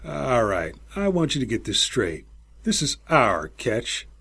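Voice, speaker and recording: A man's voice: medium pitch, very animated, measured pace, creaky, bright, forceful tone, breathy edge, heavily acted. A man voicing an eager, sly old space station captain in an audiobook, snapping out orders and relishing his words. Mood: commanding, eager. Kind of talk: audiobook character voice.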